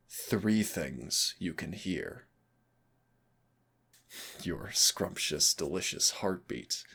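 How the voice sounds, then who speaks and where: A winged demon of royal blood, acted by a man, speaks unhurriedly in a very deep register. The voice is thick with gravel and intimate, made for audio roleplay. He comes across as proud, teasing and theatrical. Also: fairly bright tone, heavily acted. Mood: soothing, gently teasing. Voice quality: gravelly, husky, breathy low voice. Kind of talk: audio roleplay acting addressed to the listener.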